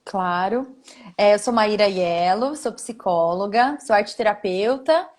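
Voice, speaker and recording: A woman's voice: medium pitch, animated, a little slow, darkish tone. A woman with a Brazilian accent, speaking casually as a guest in a live conversation. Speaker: guest, psychologist and art therapist. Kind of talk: casual chat.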